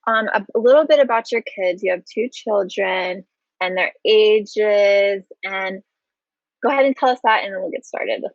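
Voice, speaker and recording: A woman hosting an interview show, talking casually with frequent excited exclamations. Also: medium pitch, normal speed, no room sound, highs cut off. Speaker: host.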